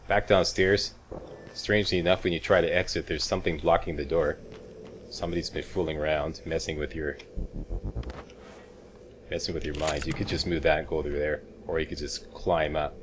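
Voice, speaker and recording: A man with a deep voice hosts a game walkthrough. He talks casually, with folksy, wisecracking asides, over faint background noise and game ambience. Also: little pitch movement, moderate pace, long pauses. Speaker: video game walkthrough host. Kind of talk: casual gameplay commentary.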